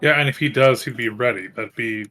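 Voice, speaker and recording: A man's voice: mid-range voice, brisk, sharp tone, faint music behind. A man chatting casually as a tabletop game player, hesitant and often repeating himself.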